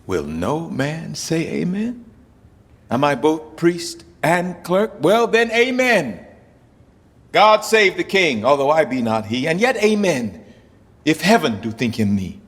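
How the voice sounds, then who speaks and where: A man acting a deposed English king in Shakespearean verse, grieving and bitterly ironic, with a theatrical, deliberate delivery. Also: fairly high pitch, big pitch swings, breathy edge, heavily acted. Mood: bitter irony. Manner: theatrical, deliberate, swinging from hushed to declaiming. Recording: long reverberant hall.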